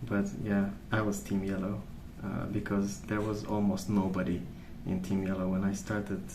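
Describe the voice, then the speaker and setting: A soft-spoken man rambling in an ASMR style, with a hesitant, meandering and unhurried way of recollecting. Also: lowish voice, monotone. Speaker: ASMR video host. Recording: roomy, distant mic, noisy background. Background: pencil colouring sounds, background noise.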